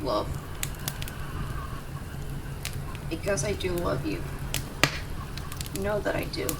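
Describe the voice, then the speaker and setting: Distant, noisy recording of a woman acting a gruff, devoted young woman. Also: mid-range voice, animated, normal speed. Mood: tender, apologetic. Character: young tsundere woman, gruff but devoted, rescuing injured lover. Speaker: voice actor in audio roleplay. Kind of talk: intimate dramatic audio roleplay. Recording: distant mic, clear background noise ambience.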